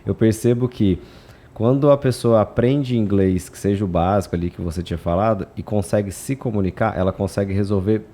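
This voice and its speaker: Brief: Brazilian co-host, relaxed and casual, low warm voice. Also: male voice, fairly quick. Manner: relaxed, filler-heavy chat. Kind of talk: casual conversation.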